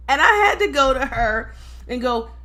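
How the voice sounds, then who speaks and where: A woman hosting a casual discussion, an animated storyteller who laughs often and stammers through restarts. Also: sits high, unhurried. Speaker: podcast host.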